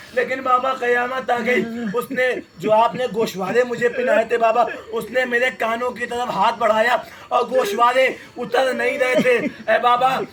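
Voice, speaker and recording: Man voicing a heartbroken little girl, very high, sobbing and crying out in mourning. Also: a bit bright, a little hiss or hum. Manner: wailing, sobbing lament. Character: grieving little girl crying for her slain father.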